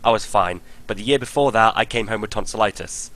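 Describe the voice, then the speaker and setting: A man from the UK with a fairly low voice, a little croaky from being ill. He chats casually as a podcast guest and rambles eagerly about what he has been doing. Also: brisk, a bit bright, low-quality recording. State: croaky from illness. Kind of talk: casual chat.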